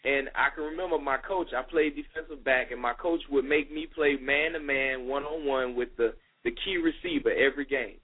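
A man in his thirties, a youth minister guest, talking in a relaxed, conversational way with many fillers on a radio call. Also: medium pitch, normal speed, few pauses, slightly rough. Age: 30s. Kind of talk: casual radio interview talk. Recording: phone-line radio call.

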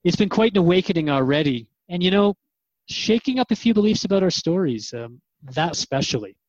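A man's voice: high voice, lively intonation, fairly quick, a little mellow, no room sound, muffled recording. A man hosting a radio interview program, conversational and reflective as he addresses listeners and his guest. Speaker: radio host.

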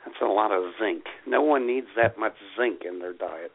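A man co-hosting a casual comedy radio show, telling stories in an animated, exasperated way. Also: fairly low pitch, moderate pace, creaky, slightly rough. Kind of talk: casual comic banter and storytelling. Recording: tinny phone-line internet radio sound.

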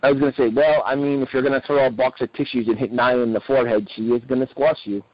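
A man with a slightly rough voice, co-hosting a wrestling podcast in casual review chat. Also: mid-range voice, steady tone, fast, no room sound, highs cut off.

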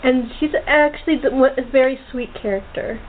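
A woman's voice: high voice, a little fast. A woman hosting a casual, chatty group interview as the playwright and director. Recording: tinny phone-like call with hiss.

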